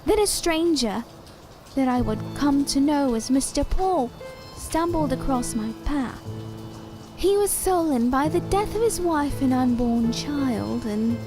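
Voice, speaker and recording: A little doll come to life, speaks high and sweetly over music. She is theatrical and sorrowful, choosing ornate, old-fashioned words as in a gothic radio play. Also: expressive, measured pace. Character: living doll of a woman, small, sweet-talking, sorrowful, theatrical. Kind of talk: audio drama acting.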